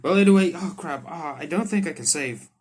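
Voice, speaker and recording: A man's voice: a little high, a little fast, a little mellow. A man hosting a gaming video, reading visual novel text aloud and commenting on it in informal, slangy language. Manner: informal, slangy, swearing, reacts aloud to what he reads. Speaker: gaming YouTuber, host.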